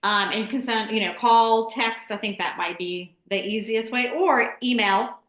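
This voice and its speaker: A woman from Charlotte in the US, chatting casually as an interview guest and explaining things in an informal, halting way. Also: on the high side, fairly bright tone. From born in Greece, grew up in Charlotte, USA. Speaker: podcast guest, real estate transaction coordinator. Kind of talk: casual chat. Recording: echoey room.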